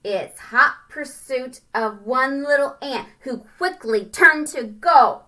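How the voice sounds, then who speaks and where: Female read-aloud storyteller for children, bright high voice, singsong and expressive, chatty asides. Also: takes it slowly. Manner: expressive, singsong, asks the listener questions.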